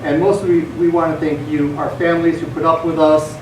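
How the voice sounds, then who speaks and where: Guy doing a curtain speech, bit halting, roomy theater, noisy distant recording. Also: on the high side, flat delivery. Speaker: theater company member giving thanks. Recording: echoey theater, distant mic, clear noise.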